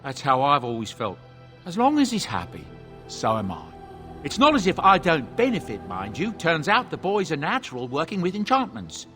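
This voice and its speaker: A man's voice: on the high side, sharp tone. An actor playing a genial middle-aged dwarven merchant and adoptive father, telling his story in a folksy, self-justifying way for a video game.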